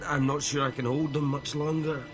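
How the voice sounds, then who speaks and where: An actor playing a haunted, overwhelmed young man with strange powers in an audio drama, halting and intense. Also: on the high side, little pitch movement, lightly acted. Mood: strained awe. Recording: ominous music under the voice. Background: scary music.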